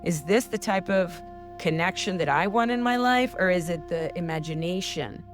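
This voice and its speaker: A woman's voice: on the low side, expressive, moderate pace, over music. A woman hosting a spiritual podcast, talking in an earnest, heartfelt coaching tone. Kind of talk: casual spiritual advice talk.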